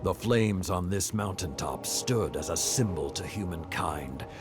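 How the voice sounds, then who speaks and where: An actor playing a stern, battle-worn old warrior lord in a video game, speaking in a grave, formal, commanding way. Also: male voice, deep voice, measured pace, music in the background. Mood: solemn. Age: older. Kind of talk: dramatic video game dialogue.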